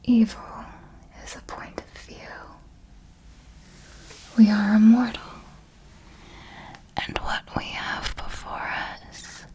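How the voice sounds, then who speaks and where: A woman as a slow whispering vampire mentor. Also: fairly high pitch, level tone, poor audio. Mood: solemn, seductive. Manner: slow, deliberate, formal, coaxing whisper. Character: ancient female vampire mentor, serenely ruthless, darkly tender. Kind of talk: ASMR whispered roleplay monologue.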